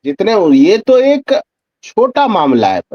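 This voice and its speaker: A man's voice: high-pitched, huge pitch swings, slow, darkish tone, dry recording. A man speaking as a guest news analyst in conversational, pointed explanation, posing questions and answering them, over dull lo-fi audio. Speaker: senior journalist and political analyst, guest. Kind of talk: conversational political commentary.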